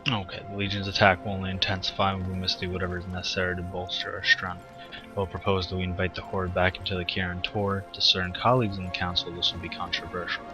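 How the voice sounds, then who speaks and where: An actor playing an authoritative, earnest and resolute wizard leader in a video game. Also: male voice, sits low, completely flat delivery, fast talker, over music, natural voice, poor audio. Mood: solemn, resolute. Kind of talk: scripted video game voice acting.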